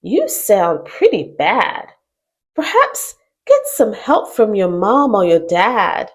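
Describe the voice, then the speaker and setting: A woman voicing a brave, kind young girl in a storybook read-aloud, gently questioning. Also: medium pitch, wildly swooping pitch, takes it slowly. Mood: caring.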